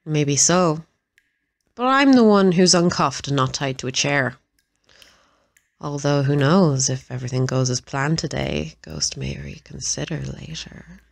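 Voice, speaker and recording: A woman acts out a gloating, cruel villainess in an audio drama. Her voice sits a little low and is recorded very dry. She taunts smugly, stretching words for emphasis. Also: unhurried, creaky, no echo.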